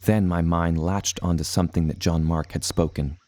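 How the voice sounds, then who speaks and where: A man's voice: low-pitched, fairly even intonation, normal speed, darkish tone, dry recording, lightly acted. An actor playing an earnest seafaring adventurer who tells his tale in formal, old-fashioned language. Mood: earnest storytelling. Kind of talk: scripted storybook narration.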